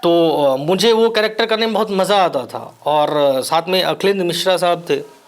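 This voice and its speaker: A man from Bihar whose first language is Maithili, answering interview questions as an actor in a measured, reflective way with frequent pauses. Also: sits high. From Bihar, India.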